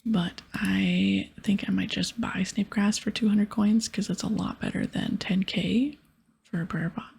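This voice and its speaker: A woman hosts a gaming session in a very breathy ASMR whisper. Her voice has a creaky edge and sits at an ordinary female pitch. She muses softly and casually as she plays, sometimes reading game text aloud. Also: fairly even intonation, a little slow. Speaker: host of an ASMR gaming series.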